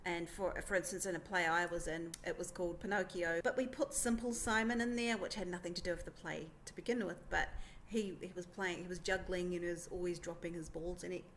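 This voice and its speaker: A woman's voice: on the low side, steady tone, a little fast, fairly bright tone. A woman presenter giving an informal explainer, chatty and emphatic. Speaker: presenter, pantomime performer. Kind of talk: informal explainer talk to camera. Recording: clear background noise.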